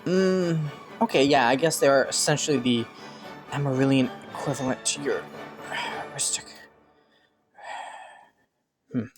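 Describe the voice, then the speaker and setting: Man acting as a courtly young prince, higher-pitched. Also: close to an everyday voice. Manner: formal courtly phrasing, softly hesitant at times. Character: ambitious young prince, courtly, scheming yet earnest. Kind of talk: romantic fantasy audio roleplay addressed to listener.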